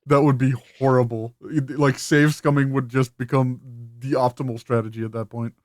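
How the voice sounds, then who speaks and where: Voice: medium pitch, fairly even intonation, normal speed, no room sound, clean studio sound. A gamer doing a let's play, reading game text aloud and commenting casually. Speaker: video game streamer.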